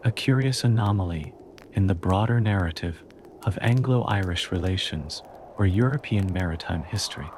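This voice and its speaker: A man reading a calm, scripted history narration meant for sleep, in a slow and measured way. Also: fairly low pitch, a little hiss or hum.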